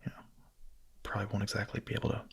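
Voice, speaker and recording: A man playing a young vampire in an intimate ASMR roleplay, speaking softly and haltingly in a breathy, husky whisper, hungry yet hesitant and tender. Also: on the low side, monotone. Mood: surprised, shy.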